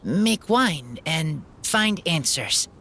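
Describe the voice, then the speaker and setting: An actor voicing a shy young man raised by wolves, who speaks in halting, broken phrases in a game. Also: on the high side, sing-song, bright, forceful tone, a little hiss or hum, full character voice. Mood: earnest. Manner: halting broken speech, slow and simple.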